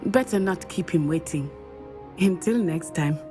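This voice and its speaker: A woman's voice: on the low side, animated, a little slow, warm tone. A woman voicing a friendly, earnest teenage witch from Uganda with an East African accent in a fantasy video game. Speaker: actor voicing a game character. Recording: prominent game music underneath.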